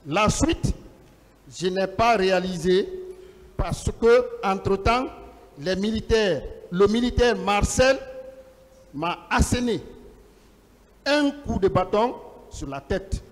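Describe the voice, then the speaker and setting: A high-voiced man addresses a formal hearing in a big, reverberant room. He speaks very slowly and declaims in short, separated phrases, as a lawyer reading out testimony and questioning. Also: animated. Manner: deliberate, declamatory, pausing between short phrases. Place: large courtroom. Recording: reverberant large courtroom, long echo.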